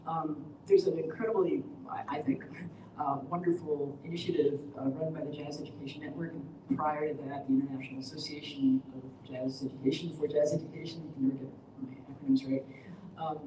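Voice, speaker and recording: A woman jazz educator speaking on a panel, animated and enthusiastic, running on with asides. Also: low voice, brisk. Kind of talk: panel discussion. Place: large indoor studio room, seated panel. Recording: roomy, distant, noisy background.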